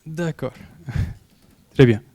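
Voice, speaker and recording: A man's voice: on the high side, extremely slow, dark tone. A man whose first language is not French, presenting his company, hesitant with many fillers.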